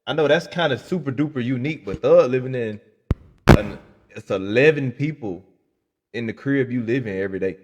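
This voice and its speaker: A man's voice: medium pitch, brisk, darkish tone. A man with an American accent giving slangy, emphatic livestream commentary and speaking straight to his viewers. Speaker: livestream reaction host.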